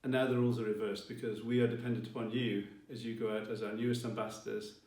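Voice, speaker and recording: A man's voice: lowish voice, level tone, fast, a little mellow. Roomy, distant man giving formal speech. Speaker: college leader addressing graduates. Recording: roomy, distant mic, faint music behind.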